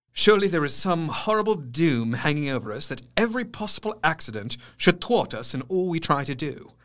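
Anxious Victorian doctor, higher-pitched. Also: male voice, fairly bright tone. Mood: dismayed, grave. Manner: formal, foreboding. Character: anxious, grave male Victorian doctor keeping a diary. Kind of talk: gothic audio drama monologue.

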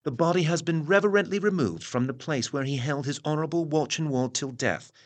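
A man playing a grave newspaper reporter in an audio drama, narrating in a formal, measured, solemn manner. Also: on the high side, lightly acted.

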